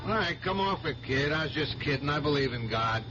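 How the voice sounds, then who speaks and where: A man plays a gruff working truck driver, folksy and blunt with a short temper. The voice is fairly high for a man, acted in 1970s radio style. The recording is muffled, with plain noise behind it. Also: animated, brisk, lightly acted. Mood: uneasy, joking. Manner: blunt, colloquial. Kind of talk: 1970s radio drama acting.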